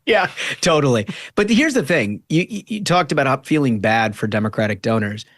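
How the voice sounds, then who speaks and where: A man's voice: mid-range voice, sing-song, a little fast, fairly bright tone, no room sound, clean studio sound. An American man giving emphatic political commentary on a casual panel, repeating phrases for effect. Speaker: podcast co-host, guest panelist.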